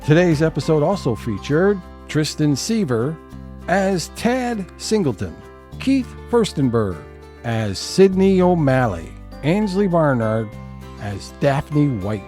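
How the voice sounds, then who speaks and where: A man's voice: fairly high pitch, very animated, takes it slowly, mellow, faint music behind. A man hosting an audio theater program, reading scripted credits as an announcer. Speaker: host and announcer.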